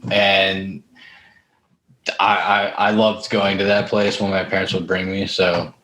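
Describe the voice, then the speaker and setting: A man's voice: low-pitched, level tone, slow, sharp tone. A man chatting informally as a podcast host, telling personal stories with casual swearing. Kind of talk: casual chat with personal anecdotes. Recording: roomy, echoey room sound.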